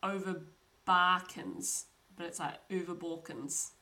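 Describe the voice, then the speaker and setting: A woman's voice: lowish voice, fairly even intonation, slow pace, pushed and bright. A young woman hosting a podcast, telling a true crime story in a chatty, casual way with frequent laughs. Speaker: podcast host.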